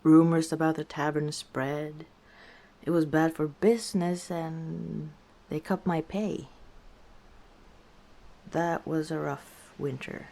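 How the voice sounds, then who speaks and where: A battle-scarred orc tavern keeper, played by a woman, shares her past in an intimate ASMR roleplay. Her voice sits low for a woman and she speaks very slowly, pausing to reflect. She comes across as weary and guarded, with a gentle wry streak. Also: steady tone, vocal fry, exaggerated voice. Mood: subdued, rueful. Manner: unhurried, reflective, hesitant pauses, soft chuckles.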